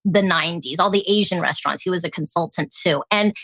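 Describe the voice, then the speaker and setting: A woman with an American accent, chatting casually as an interview guest and telling stories in an animated way. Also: lowish voice, quick pace, no echo. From born in Hong Kong, grew up in Alaska. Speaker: guest, tea company founder.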